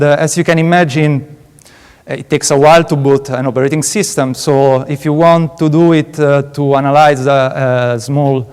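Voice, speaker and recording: A man's voice: fairly high pitch, a little mellow, smooth. A man in his late twenties with an Italian accent, a PhD student giving a conference talk, hesitant and full of filler sounds. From Italy. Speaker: PhD student presenting research. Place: large conference hall. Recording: reverberant conference hall.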